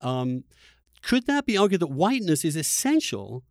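A man hosting a conversational interview, halting and repeating words as he works through an argument. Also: fairly high pitch, sing-song, no echo, clean studio sound. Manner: halting, repeats words while arguing a point. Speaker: podcast host.

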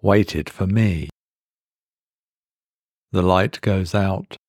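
A man reading a first-person horror story as a trapped man in dazed, creeping dread, speaking in halting, brooding phrases. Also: low voice, a little mellow, no room sound, studio quality, full character voice. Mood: mounting terror.

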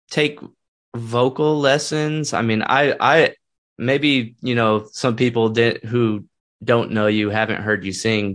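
An American man hosting a relaxed interview. He talks at length, loops back on his phrases, and sounds eager as he asks his questions. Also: on the low side. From United States. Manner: rambling, enthusiastic, many restarts. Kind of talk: casual interview chat.

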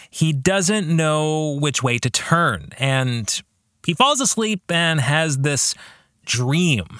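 Male podcast host, reflective faith talk. Bright, lively intonation, deliberate halting phrasing, dry close sound. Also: a little high, dry recording. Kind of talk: reflective spoken book discussion, faith talk.

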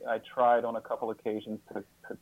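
A man being interviewed as a guest, speaking reflectively and haltingly, often repeating words and restarting his sentences. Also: on the low side, completely flat delivery, no echo, phone-quality sound.

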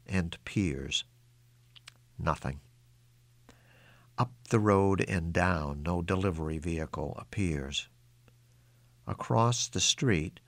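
A man's voice: low-pitched, no room sound. A man reading his own literary short story aloud as a scripted narration.

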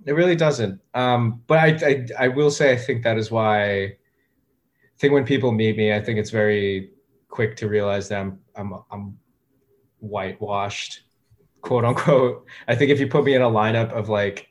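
A man in his thirties, a guest talking casually in an interview, with a relaxed, hesitant way of talking full of fillers and restarts. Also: fairly low pitch, moderate pace. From United States. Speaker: guest, music producer.

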